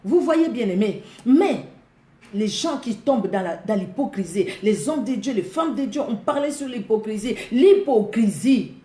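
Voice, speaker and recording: A woman's voice: on the high side, lively intonation, a little slow, mellow. Congolese woman preaching in an echoey room. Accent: Congolese French. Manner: emphatic, repeats key words, laughs often. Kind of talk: sermon.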